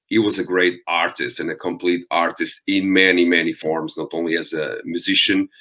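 Deep-voiced Portuguese man, bright tone. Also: level tone, normal speed. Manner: thoughtful, frequent ums and restarts. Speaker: metal vocalist guest. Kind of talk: interview conversation.

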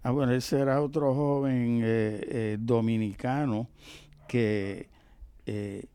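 An older Puerto Rican man, a professor being interviewed, telling history conversationally and haltingly, often pausing to search for words. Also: medium pitch, steady tone, warm tone, clean tone, dry recording. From Puerto Rico. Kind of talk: conversational oral history storytelling.

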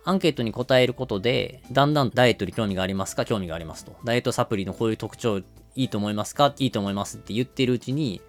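A man's voice: medium pitch, racing, few pauses, soft background music. A man explaining things step by step in a business podcast style, using everyday examples. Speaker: podcast host explaining marketing.

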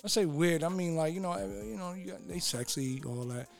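Male podcast guest, slightly high voice, rapid slangy chat, repetitive phrasing, soft music behind. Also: expressive, creaky. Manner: slangy, repeats himself. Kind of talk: casual chat. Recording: music under the talk.

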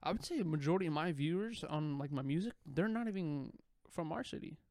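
A young man with a slightly high voice, talking in a loose, rambling way and swearing often. He sounds like a podcast guest talking about his music. The sound is close and dry, with no room echo. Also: animated, a little fast, darkish tone. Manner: laid-back, rambling, swears a lot. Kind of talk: casual podcast chat.

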